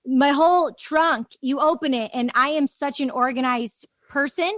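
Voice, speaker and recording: A woman's voice: high-pitched, moderate pace, fairly bright tone, dry recording. A woman hosting a podcast in casual conversation, chatty and peppering her speech with "like". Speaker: podcast host.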